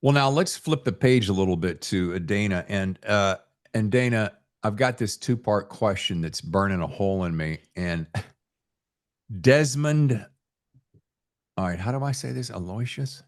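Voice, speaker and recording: A low-pitched male podcast host talks in a loose, folksy style. He is effusive and tends to ramble as he works toward his questions in a relaxed interview chat. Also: a little slow.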